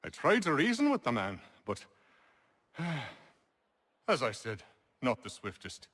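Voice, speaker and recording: An actor playing a genial, well-spoken colonial landowner and merchant, articulate and wry, in a video game cutscene. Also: male voice, medium pitch, huge pitch swings, slow pace, a bit bright, breathy edge, heavily acted. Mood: wry, reminiscing.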